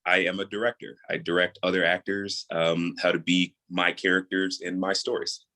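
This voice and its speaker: Male guest from New York, very low soothing voice, easygoing conversational explainer. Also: little pitch movement, moderate pace, pushed and bright. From New York, USA. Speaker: guest, audio drama creator and voice actor. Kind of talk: casual interview chat.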